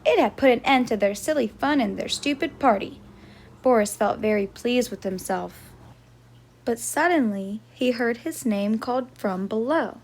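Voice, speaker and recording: A woman's voice: on the high side, lively intonation, moderate pace. A woman storyteller reading a picture book aloud for children, as a teacher would.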